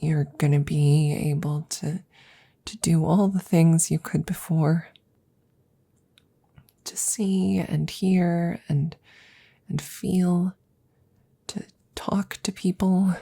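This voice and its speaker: A woman playing an anxious, devoted scientist in an ASMR audio roleplay, speaking in a breathy, gravelly, intimate near-whisper with halting stammers. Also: lowish voice, steady tone, dark tone, exaggerated voice. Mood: earnest, reassuring. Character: anxious, devoted female scientist tending her best friend.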